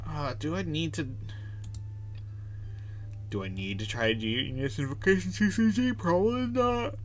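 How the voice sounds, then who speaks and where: A man's voice: on the high side, expressive, normal speed, a little hiss or hum. A man live-streaming a game, chatting casually and thinking aloud in a rambling way. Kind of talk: casual livestream gameplay chat.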